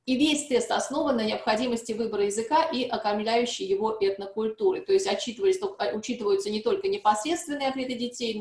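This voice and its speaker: A woman speaks at a seminar in a fairly high voice, explaining carefully and often pausing on hesitant fillers. The room around her is echoey, like a conference hall. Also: creaky, darkish tone. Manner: frequent hesitations and restarts, explanatory. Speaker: seminar speaker on language testing. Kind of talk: academic presentation.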